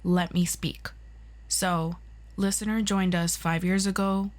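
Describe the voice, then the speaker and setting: Casting: woman as composed superhero council interrogator, unhurried, formal, coolly polite, for audio roleplay. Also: lowish voice, flat delivery. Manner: formal, measured, slightly condescending. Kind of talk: ASMR roleplay acting.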